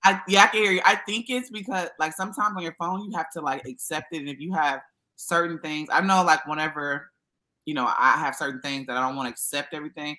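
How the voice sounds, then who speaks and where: A woman hosting a casual livestream, chatty and expressive, talking to her viewers as y'all. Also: low voice, quick pace. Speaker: YouTube livestream host.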